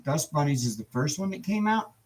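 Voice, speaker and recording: A man with a hoarse, worn voice hosting a casual live chat stream, relaxed and talkative. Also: a little high, brisk, a little hiss or hum. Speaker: livestream host.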